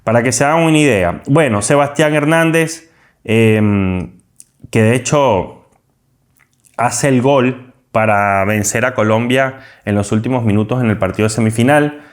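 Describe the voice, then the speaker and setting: A man's voice: medium pitch, unhurried. A man giving an enthusiastic, rambling football commentary, often repeating and restarting phrases. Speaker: football commentator and channel host. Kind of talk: casual sports commentary monologue.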